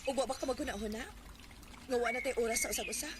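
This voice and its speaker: A woman's voice: fairly high pitch, fairly even intonation, racing, natural voice. A woman with a Cebuano Filipino accent acting a hurt, neglected young girlfriend in a radio drama. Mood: hurt, confronting. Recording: noisy radio drama recording.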